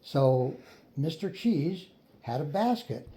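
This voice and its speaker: An older man improvising a children's story in a folksy, unhurried way, pausing for others to join in. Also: a little high, very animated, mellow. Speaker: grandfather storyteller.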